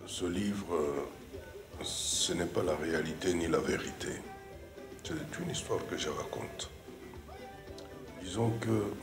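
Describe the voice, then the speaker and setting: A reflective middle-aged writer in a film drama. His low voice moves haltingly as he philosophises. The room is echoey, with music clearly behind him. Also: exaggerated voice. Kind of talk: naturalistic film dialogue. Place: dining room at a dinner table. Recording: roomy interior with music behind.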